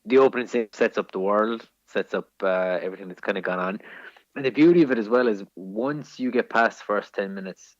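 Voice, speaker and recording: A man's voice: on the low side, brisk, dry recording, dull audio. An Irish man in casual film-review chat as a podcast co-host, opinionated and sweary.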